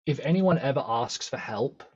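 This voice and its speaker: Earnest British man, husky and lo-fi. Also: mid-range voice, animated, normal speed, darkish tone. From England. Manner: earnest, upbeat, thinks aloud with restarts. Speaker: podcast guest, agency founder. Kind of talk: casual conversational interview.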